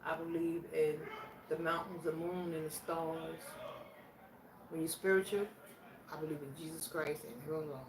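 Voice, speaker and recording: A woman's voice: low voice, steady tone, crowd noise. A woman in her sixties, from Virginia, casually working through a question challenge in a plainspoken, reflective way. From Virginia, USA. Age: 60s. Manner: plainspoken, slow and reflective, answering questions aloud. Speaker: vlogger. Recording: echoey room, voice from a distance, faint background noise.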